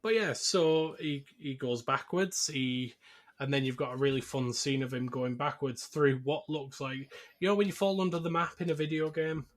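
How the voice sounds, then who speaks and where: A man's voice: fairly high pitch, moderate pace. A man hosting a podcast, casually recapping a film in a jokey, conversational way. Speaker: podcast host.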